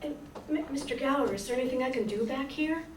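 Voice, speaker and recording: A woman's voice: sits high, fast, natural voice. A woman playing a plucky, earnest twelve-year-old boy in a radio play. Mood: anxious, pleading. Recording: echoey, distant stage recording, faint noise.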